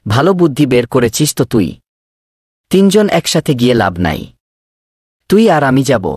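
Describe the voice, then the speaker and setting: A man's voice: medium pitch, very animated, moderate pace, darkish tone, husky, no room sound, clean studio sound. A man voicing an eager village man in a cartoon, earnest and persuasive as he lays out plans. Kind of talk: cartoon voice acting.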